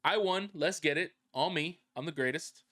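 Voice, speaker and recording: A man's voice: fairly high pitch, normal speed, bright, forceful tone, dry recording, studio quality. Playful bilingual young man co-hosting. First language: Spanish. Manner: playful, boastful banter. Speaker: podcast co-host. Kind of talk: casual chat.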